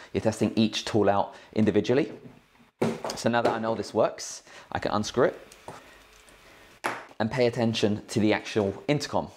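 Guy with a lowish voice doing a casual hands-on how-to, slangy, step by step. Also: a little mellow, a little hiss or hum. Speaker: tech tutorial host. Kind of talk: casual hands-on tutorial explanation. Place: indoors at a cluttered work desk.

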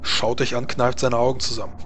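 A man's voice: mid-range voice, flat delivery, brisk, a bit bright, faint music behind. A man casually narrating a tabletop roleplaying game as game master.

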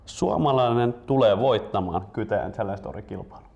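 A man's voice: on the low side, creaky, a little mellow, highs cut off. A man in his twenties hosting, moving between presenter-style announcements and casual chat. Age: 20s. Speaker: host.

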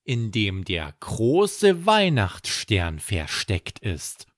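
A man's voice: lowish voice, wildly swooping pitch, extremely slow, heavily acted. A man voicing a solemn plush animal who speaks like a prophet in a children's bedtime story.

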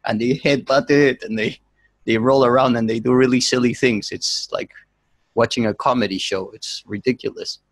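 A young man hosting a casual podcast chat from a small room at home, joking and animated as he talks. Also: medium pitch, normal speed, dry recording, low-quality recording. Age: young adult. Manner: joking, animated, laughs often. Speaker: podcast host.

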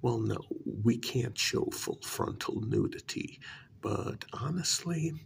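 A man playing a flustered, apologetic talent agent in an ASMR role-play, speaking softly in a breathy, gravelly half-whisper with hesitant phrasing. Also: mid-range voice, animated, slight background noise, full character voice. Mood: persuasive, gentle. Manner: slow, hesitant, halting. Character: apologetic, easily flustered male talent agent for supernatural creatures.

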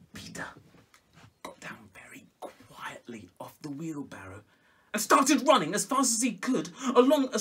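A middle-aged man telling a children's story in a theatrical, expressive way. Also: high-pitched, husky. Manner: theatrical, expressive, with hushed whispers and exclamations. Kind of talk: animated storybook reading. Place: small home music room indoors.

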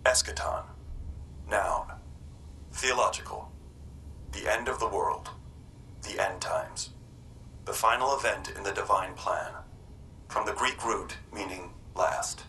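Detached computer voice, very slow, clipped, male, faint rumble behind. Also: pushed and bright, low-quality recording. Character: impassive male talking computer reciting definitions. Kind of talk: dictionary-style recitation in video game.

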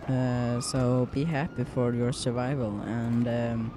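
A man's voice: mid-range voice, flat delivery, slow pace, noisy background. A young man from Sweden with a Swedish accent, rambling through casual gameplay commentary with many fillers and restarts. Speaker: gaming YouTuber.

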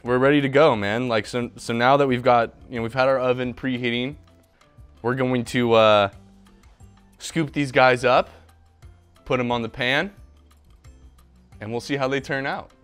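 A man's voice: mid-range voice, fairly even intonation, normal speed, pauses often, fairly bright tone, clean tone, faint music behind. Upbeat young man hosting a kitchen show. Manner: upbeat, chatty, talking to a silent mascot. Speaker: host. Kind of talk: casual cooking show. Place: home kitchen, indoors. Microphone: lapel mic.

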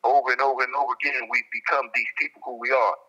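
A man's voice: mid-range voice, fairly even intonation, racing, bright, forceful tone. A man being interviewed over a telephone line, explaining his reflections emphatically and repeating phrases. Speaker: interviewed guest, prison inmate calling.

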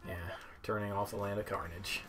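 A young man giving casual, offhand gameplay commentary as he demos game characters, with a hesitant, halting delivery. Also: on the low side, little pitch movement. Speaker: gamer demoing video game characters. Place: indoor room at home, seated. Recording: game music behind the voice. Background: video game music.

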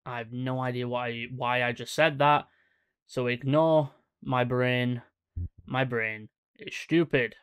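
Slow-talking young man, rambling commentary. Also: medium pitch, steady tone, a bit bright, clear, resonant tone, no echo, clean studio sound. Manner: rambling, self-correcting, many fillers. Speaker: horror channel host. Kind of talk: casual reaction commentary. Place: small room indoors at a desk. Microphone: studio mic on stand in front.

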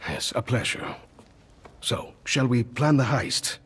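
A man playing a stoic, courteous hitman with a husky voice, speaking formally and deadpan in a video game cutscene. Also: medium pitch, slow, heavily acted. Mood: puzzled, formal.

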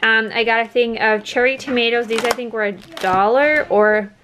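A woman in her thirties talks to viewers in a casual, conversational ramble. Her voice sits a little high. She is recorded at home on a camera, with clear noise behind her. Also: steady tone, normal speed. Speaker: vlogger. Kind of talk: casual chatty haul video talk. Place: indoors at home by a wooden table.

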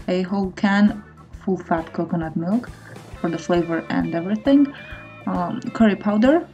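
A woman's voice: medium pitch, dark tone, highs cut off. A woman in her thirties giving a casual recipe voice-over as a cooking vlogger. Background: faint guitar music.

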